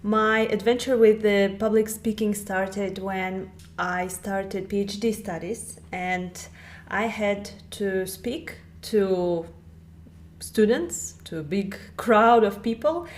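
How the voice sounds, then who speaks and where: A woman speaks English as a guest on a podcast. She explains things carefully and at a measured pace, in a voice of middle pitch. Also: steady tone. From Russia. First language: Russian. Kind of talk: podcast interview.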